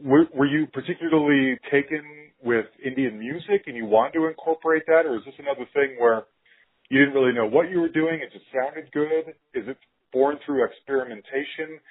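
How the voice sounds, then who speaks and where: A man's voice: mid-range voice, brisk, husky, narrow, tinny audio. An American man hosting a podcast, asking questions in a casual, conversational interview style.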